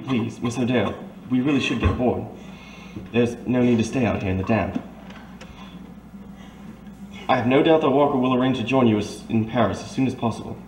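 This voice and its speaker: A man's voice: medium pitch, a little mellow, lightly acted. A man playing a polite, cautious major in an old-time radio drama, with a formal, courteous way of talking. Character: polite, cautious gentleman major, patient escort. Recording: roomy, faint background noise.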